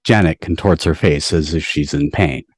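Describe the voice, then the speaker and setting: Low-voiced narrator of stage directions. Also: moderate pace, no room sound, clean studio sound. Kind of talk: scripted narration at a table read. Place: online video call.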